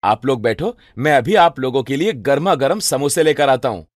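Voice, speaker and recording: A man's voice: medium pitch, expressive, normal speed, studio quality, close to an everyday voice. Guy playing eager polite younger brother, student, mid voice, cartoon story, no room echo. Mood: eager, friendly.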